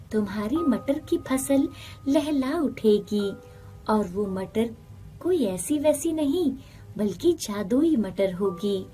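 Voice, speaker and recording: Gentle magical talking cow, voiced by a woman. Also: mid-range voice. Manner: gentle, reassuring. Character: kindly talking magical cow, female, grateful mother.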